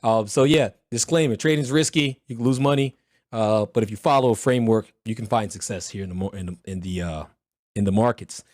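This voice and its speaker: A man with a hoarse, recovering voice teaching a live trading mentorship session, talking in a loud, blunt, slangy, motivational way. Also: medium pitch, no echo, clean studio sound. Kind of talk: livestreamed mentorship talk.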